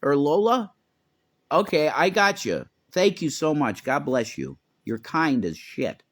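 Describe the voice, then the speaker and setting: A man's voice: a little high, a little slow. A man co-hosting a casual livestream, bantering emphatically with frequent swearing. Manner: emphatic, profane, lively banter. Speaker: streamer co-host.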